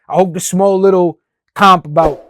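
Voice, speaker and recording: A young man hosting a casual football fan reaction, excitable and slangy, laughing often. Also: high voice, moderate pace, slight background noise. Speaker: host of a football fan channel.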